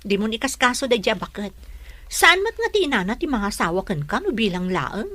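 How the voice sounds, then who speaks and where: A woman's voice: on the high side, very animated, a little fast, pushed and bright, exaggerated voice. A woman playing an outspoken, supportive young best friend in an Ilocano audio drama.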